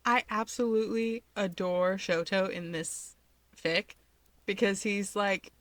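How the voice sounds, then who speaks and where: A woman's voice: medium pitch, slow, dry recording. A woman who reads fan fiction aloud and chats informally to listeners as the host of her channel. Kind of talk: expressive storytelling and casual chat to listeners.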